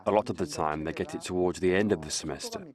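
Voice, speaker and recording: A man explains something in a measured way for a news interview. His voice is on the low side, with a crowd clearly audible behind him.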